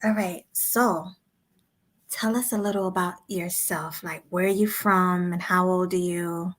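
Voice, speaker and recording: A woman's voice: on the low side, steady tone, unhurried, fairly bright tone. An American woman from New Jersey, around her thirties, hosting a casual interview in an informal, encouraging way. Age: 30s. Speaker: host interviewer. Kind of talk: casual live-stream interview.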